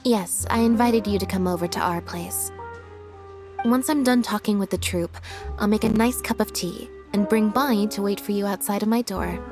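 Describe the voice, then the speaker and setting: Game role: actress as composed, understated young woman, dry measured delivery, mid-range pitch. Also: music in the background, natural voice. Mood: calm, relaxed. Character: calm, understated young woman, a magician's assistant. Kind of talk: video game voice acting.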